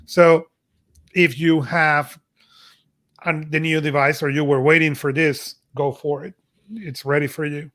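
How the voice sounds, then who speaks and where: Higher-voiced man reading out tech news. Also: little pitch movement, unhurried, pauses often, fairly bright tone. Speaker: podcast co-host. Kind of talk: casual tech news chat.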